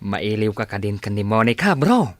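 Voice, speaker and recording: A man's voice: fairly low pitch, sing-song, a little slow, no room sound. A man playing a chummy, teasing friend chatting on a call in a radio drama.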